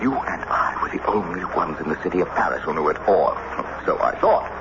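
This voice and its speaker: A man's voice: deep voice, expressive, a little fast. An actor playing an irascible, suspicious American statesman and devoted husband in a theatrical radio drama style. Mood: indignant, accusing. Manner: emphatic, theatrical, declamatory. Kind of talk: 1970s radio drama acting. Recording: muffled, noisy old radio broadcast.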